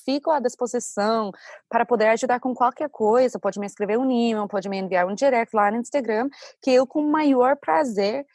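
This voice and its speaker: Friendly higher-voiced woman guest. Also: fairly quick, darkish tone, dry recording, clean studio sound. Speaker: guest, English teacher. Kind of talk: casual conversation.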